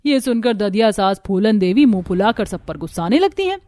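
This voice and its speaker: A woman narrating a family cartoon story in a brisk, scripted storytelling manner. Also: mid-range voice, big pitch swings, mellow, no room sound.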